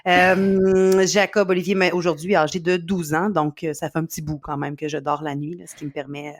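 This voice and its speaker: A woman's voice: fairly low pitch, level tone, fairly quick. A middle-aged woman from Quebec with a Québécois accent, a sleep consultant speaking earnestly in an interview, with many fillers. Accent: Québécois French. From Quebec, Canada. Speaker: sleep consultant and company founder. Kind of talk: podcast interview.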